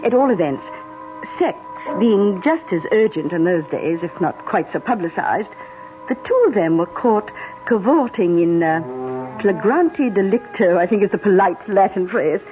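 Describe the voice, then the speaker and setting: A woman's voice: mid-range voice, expressive, normal speed, natural voice. A woman playing an arch British lady, tinny radio. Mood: wry, gossipy. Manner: arch, breezy, genteel understatement. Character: worldly upper-class British older lady, wry storyteller. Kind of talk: radio drama acting. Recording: tinny narrowband radio drama, faint music.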